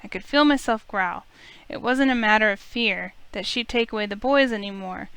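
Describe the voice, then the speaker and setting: A woman's voice: mid-range voice, normal speed, a bit bright, clear, resonant tone, no room sound. A woman reading a game heroine's first-person narration aloud as a let's player, in a dramatic storytelling style.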